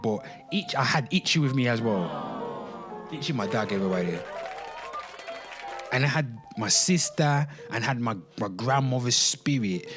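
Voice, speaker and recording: A man speaking casually and emphatically in an off-the-cuff rant, with slang, swearing and repeated phrases, talking straight to his listeners. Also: medium pitch. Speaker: host of a pop culture commentary show. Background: music.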